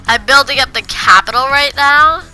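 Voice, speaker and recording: A woman's voice: sits high, normal speed, sharp tone, faint music behind, poor audio. A woman with a cold, sounding congested, chatting casually over her gameplay and rambling with frequent restarts. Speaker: gaming video creator.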